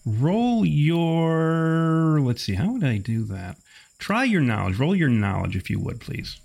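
A man's voice: a little high, sing-song, slow, vocal fry, darkish tone. A man narrating a tabletop role-playing game as game master.

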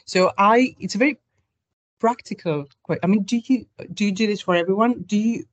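A woman's voice: medium pitch, a little fast, no room sound, muffled recording. A woman hosting a conversational podcast interview, speaking in a hesitant way and often restarting her phrases.

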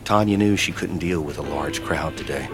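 A man's voice: very deep voice, moderate pace. A man giving somber scripted narration as the storyteller of a horror audio drama. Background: music.

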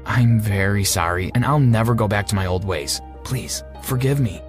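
A man's voice: fairly low pitch, normal speed, faint music behind, lightly acted. A man playing a repentant teenage bully, narrating his own story in a dramatic, earnest first-person style. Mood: apologetic.

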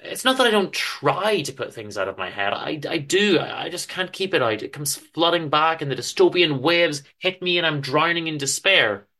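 Story reading: gloomy, rebellious office drone played by a man, wordy and earnest, slightly high, forceful voice. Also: animated, normal speed, hardly any pauses. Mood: despairing. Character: Chester, gloomy, principled, rebellious male office worker.

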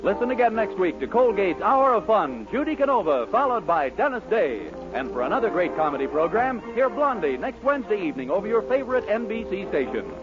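A man's voice: high-pitched, sing-song, a little fast, hardly any pauses. A man with an American accent announcing on 1940s radio in a polished, emphatic sales delivery. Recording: dull vintage 1940s radio, music behind. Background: music.

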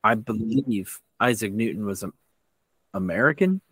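A man co-hosting a casual podcast, bantering through a quiz in a joking, animated way. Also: fairly low pitch, normal speed, breathy edge, no room sound. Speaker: podcast co-host.

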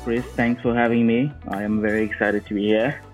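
A man's voice: medium pitch, level tone, fairly quick, faint music behind. A man, giving casual, conversational interview answers as a podcast guest over a remote call. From Cameroon. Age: 20s. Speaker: podcast guest, software engineer and photographer. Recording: narrow tinny phone-like line.

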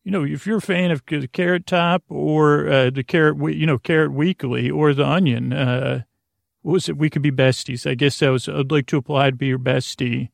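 An American man hosting a sleep podcast in a meandering, halting monologue, stumbling and trailing off mid-thought in a gravelly voice. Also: a little high, fairly even intonation, no room sound. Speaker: podcast host. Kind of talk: rambling bedtime monologue to help listeners sleep.

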